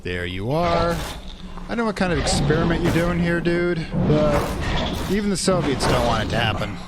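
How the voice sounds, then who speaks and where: A man's voice: a little high, sing-song, normal speed. A man giving wry, exclamatory commentary while he plays a video game, muttering and reacting as he goes. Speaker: let's play gamer. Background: loud game music and sound.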